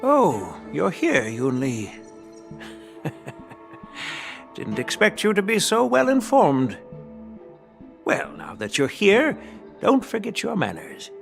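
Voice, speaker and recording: An actor playing a dignified elderly grandfather and general in a video game, formal, measured and authoritative. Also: high-pitched, wildly swooping pitch, vocal fry, faint music behind, heavily acted. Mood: wry.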